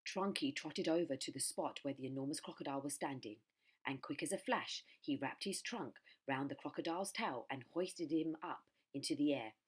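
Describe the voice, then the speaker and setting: A woman's voice: sits low, moderate pace, breathy edge. A woman reading a children's story aloud as a primary school storyteller.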